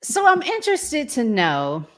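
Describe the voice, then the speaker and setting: A woman's voice: high voice, huge pitch swings, unhurried, fairly bright tone. A woman hosting a relationship podcast in a casual, conversational interviewing style. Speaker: podcast host. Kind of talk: casual interviewing, reads audience comments.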